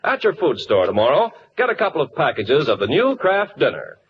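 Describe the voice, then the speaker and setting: Upbeat american guy doing an old 40s radio ad, swoopy pitch, bit muffled. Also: wildly swooping pitch, brisk, a crowd in the background. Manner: upbeat sales pitch, emphatic. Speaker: commercial announcer. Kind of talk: 1940s radio advertisement read. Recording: muffled, hissy 1940s radio recording.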